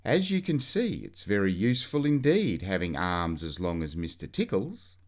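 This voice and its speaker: A man reading a children's storybook aloud in an expressive way, drawing out key words for emphasis. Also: deep voice, normal speed.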